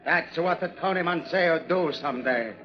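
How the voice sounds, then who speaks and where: Fiery little ship's cook, male actor: Italian accent, broken English, raised pitch, vengeful, muffled 1940s radio drama. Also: moderate pace, lightly acted. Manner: broken English, refers to himself by name.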